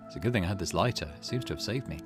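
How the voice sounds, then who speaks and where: A man voicing a wary, excitable young hero of a visual novel, full of questions. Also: sits low, very fast. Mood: musing. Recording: music behind the voice.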